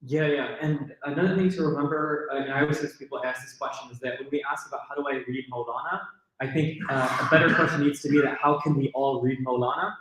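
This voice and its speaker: A man's voice: medium pitch, fairly even intonation, fast talker, a loud crowd. A man answering an audience question at a lecture, earnest and enthusiastic, thinking aloud with fillers. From California, USA. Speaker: panelist. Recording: very echoey hall.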